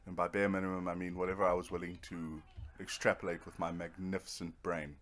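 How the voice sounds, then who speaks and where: A man's voice: low voice, flat delivery, fairly quick, a bit bright, a little hiss or hum. A man giving casual, rambling commentary over a video game, with a dry, self-deprecating sense of humor. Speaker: video game let's player.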